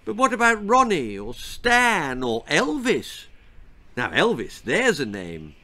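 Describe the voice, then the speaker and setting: A man voicing a meek, gentle queen who speaks in a small, apologetic voice. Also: high voice, big pitch swings, unhurried, voice pushed up, full character voice.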